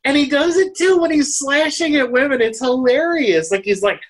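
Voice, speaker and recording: A woman's voice: high voice, lively intonation, moderate pace. Woman chatting casually about films. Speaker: podcast co-host. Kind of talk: casual film review chat.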